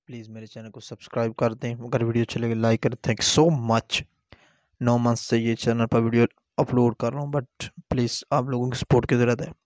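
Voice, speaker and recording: A man narrating a moral folk tale as a storyteller, in short, measured phrases. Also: medium pitch, little pitch movement, darkish tone, no echo. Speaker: storyteller and channel host.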